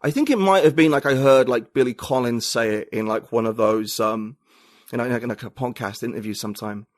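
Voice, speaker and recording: A man's voice: mid-range voice, brisk, dry recording, clean studio sound. A man, a writer and podcaster, talking as an interview guest, reflective and thinking aloud with many fillers. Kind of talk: casual interview conversation.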